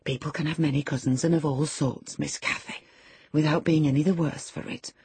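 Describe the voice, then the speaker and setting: A woman's voice: deep voice, fairly even intonation, brisk, a little mellow, breathy edge, exaggerated voice. A woman voicing a sensible, protective nursemaid who admonishes and soothes, in an audiobook. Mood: hushed soothing. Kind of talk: dramatised audiobook character.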